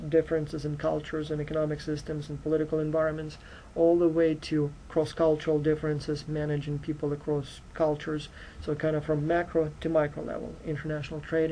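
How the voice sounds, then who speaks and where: Male university lecturer, Ukrainian accent, slightly higher voice, flat delivery, mellow tone. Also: gravelly, a little hiss or hum, low-quality recording. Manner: earnest, direct, frequent fillers like uh and you know. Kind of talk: recorded course introduction lecture.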